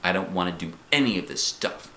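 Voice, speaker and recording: A man's voice: deep voice, lively intonation, brisk, pushed and bright, roomy sound, a little hiss or hum. Man putting on a sassy, dramatic first-grade girl. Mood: sullen. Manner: exaggerated, blunt, theatrical.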